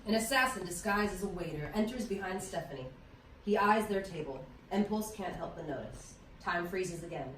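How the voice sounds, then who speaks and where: A woman's voice: lowish voice, expressive, normal speed, a bit bright. A woman reading as the narrator of stage directions in a table read of a play. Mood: neutral. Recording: echoey room, distant mic, faint noise.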